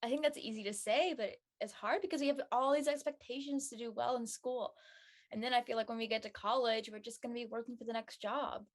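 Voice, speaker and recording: A supportive teenage girl, played by a woman in a scripted drama. She sounds casual and slangy, a little worried, with a moderately high voice. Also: steady tone, fairly quick, lightly acted. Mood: mild, concerned.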